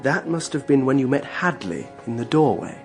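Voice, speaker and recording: An actor playing a courteous, considerate middle-class English man in a radio drama, talking in an expressive, conversational way. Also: mid-range voice, moderate pace, vocal fry, faint music behind. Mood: concerned, curious.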